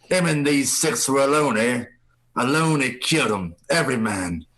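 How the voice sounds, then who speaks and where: An actor playing a wily old pirate ringleader in a live radio play, with gravelly, folksy seafaring speech. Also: male voice, mid-range voice, expressive, normal speed, a bit bright, close to an everyday voice. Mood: musing, commanding.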